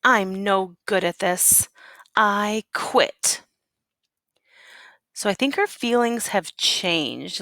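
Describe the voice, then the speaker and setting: A woman teacher reading a picture book aloud expressively, with deliberate emphasis on key words and questions for young pupils. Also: medium pitch, fairly bright tone, dry recording.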